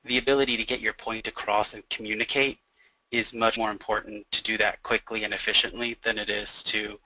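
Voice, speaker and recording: A young man who works as a software developer, explaining a product casually on a webinar with frequent "um" and "like". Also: lowish voice, little pitch movement, brisk, no echo, poor audio. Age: young adult. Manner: fluent, uses fillers like "um" and "like".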